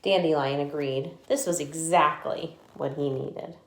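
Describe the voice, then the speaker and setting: A woman reading a picture book aloud to children like a teacher, with animated storytelling and chatty asides. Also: low-pitched, measured pace, slight background noise. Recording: echoey room.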